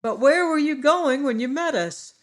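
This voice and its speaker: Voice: sits high, expressive, normal speed, pitched above the performer's own voice. A reader voicing an earnest young boy who explains their trouble.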